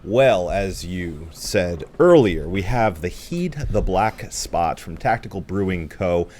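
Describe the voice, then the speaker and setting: A man's voice: low voice, expressive, slow, a little mellow, slight background noise. A man co-hosting a podcast who sounds stuffy from a cold, talking casually about a beer. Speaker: podcast co-host. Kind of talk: casual chat over beers.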